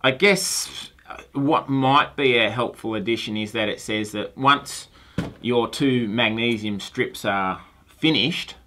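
A man's voice: mid-range voice, slow, sharp tone. A science teacher in his forties on a phone call, friendly and earnest, often restarting his sentences. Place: small room with shelves of toys and lab gear. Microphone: phone handset held to ear, room mic.

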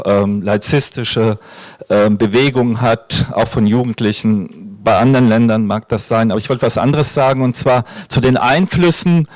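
A man in the audience raising a quick objection at a panel, correcting himself as he goes. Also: mid-range voice, a little mellow, dry recording. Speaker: audience member. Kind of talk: question from the floor.

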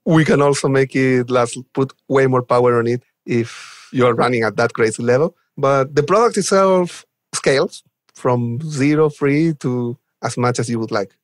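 A man's voice: medium pitch, normal speed, no echo. A man from Chile, a podcast guest, explaining technology conversationally and enthusiastically with vivid examples. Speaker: podcast guest, cloud developer advocate.

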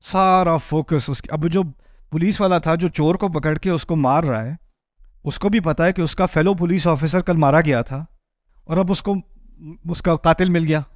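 A man in his forties giving a lively sermon on a stage, moving and gesturing as he speaks, acting out the people in the story through a headset mic. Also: moderate pace, dry recording, clean studio sound. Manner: animated, gestures widely while pacing a stage; acts out voices of people in the story, rising high for a shout. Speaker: preacher. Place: indoor stage before a patterned backdrop.

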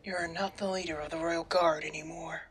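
A woman's voice: low-pitched, level tone, fairly quick, slightly rough. A woman playing a stern, regal goat monarch with a commanding, formal manner in a comic dub. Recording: loud music behind the voice.

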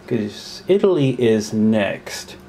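A man's voice: fairly low pitch, sing-song, takes it slowly, dark tone, slight background noise, poor audio. A man playing a war board game at a table, counting pieces aloud and puzzling over the rules as he goes. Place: indoors at a table.

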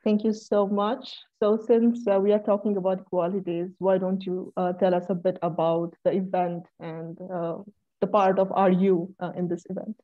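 A woman's voice: medium pitch, little pitch movement, moderate pace. A young woman from India, a PhD student hosting a video-call podcast politely, with hesitant fillers. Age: young adult. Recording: slightly dull video-call audio.

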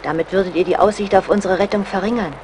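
A young woman of medium pitch acted by an actress speaks as a colonel's brave daughter. She is resolute and pleading, ready to sacrifice herself, in radio play style over faint noise. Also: quick pace, lightly acted. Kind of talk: German radio play acting.

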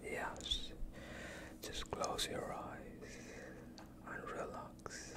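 A young man playing a sinister, soothing vampire doctor in a whispered ASMR roleplay, with a breathy, hushed, reassuring delivery close to the listener. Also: very slow. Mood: calm, reassuring. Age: young adult. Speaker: ASMR performer.

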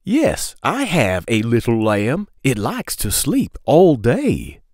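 A man in his thirties hosting a children's read-aloud, speaking in simple, clearly phrased sentences to young listeners. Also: medium pitch, huge pitch swings, slow, darkish tone, no room sound, clean studio sound.

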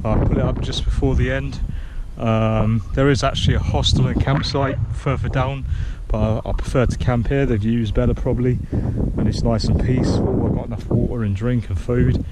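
A man talks casually to an action camera on an open coastal hillside, pausing often with ums and starting sentences over. His voice sits at a middle pitch for a man. Loud wind roars across the microphone throughout. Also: steady tone. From Britain. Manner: hesitant, many ums and restarts. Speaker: hiker filming his own trail vlog. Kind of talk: casual rambling chat to camera. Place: open grassy headland by the sea, outdoors. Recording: loud wind noise on the mic outdoors.

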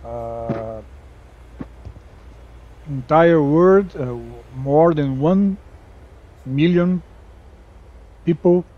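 A Brazilian man with a Brazilian Portuguese accent, chatting casually over gameplay, halting, with many fillers and restarts. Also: on the high side, lo-fi sound. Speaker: gaming YouTuber. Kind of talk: casual game commentary.

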